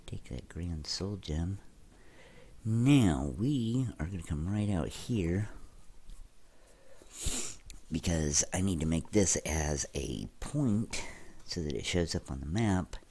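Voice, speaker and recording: A man's voice: low voice, lively intonation, slow, long pauses, mellow, slight background noise. A young man hosting a let's play, chatting casually with viewers while sounding stuffy and congested. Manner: chatty, addresses viewers directly. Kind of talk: casual gameplay commentary.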